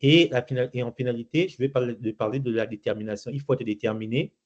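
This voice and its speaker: Guy from gabon, middle-aged, hesitant and halting, muffled lo-fi audio. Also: medium pitch. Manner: hesitant, many fillers and restarts. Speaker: interview guest. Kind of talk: casual interview answers. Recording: muffled lo-fi recording.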